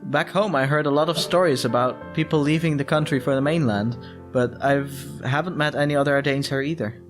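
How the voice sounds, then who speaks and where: A young male shop assistant from a visual novel, read by a man, talks politely and earnestly. The voice is a little on the high side. Light music plays underneath. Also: fairly quick, lightly acted. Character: modest young male furry shop assistant, foreign newcomer. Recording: music behind the voice.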